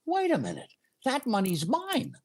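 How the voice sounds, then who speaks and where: A man's voice: very high voice, wildly swooping pitch, measured pace, a little mellow, slightly rough, full character voice. A man playing a hapless, befuddled and meek fellow who gets flustered, in an old-time radio comedy. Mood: indignant, flustered.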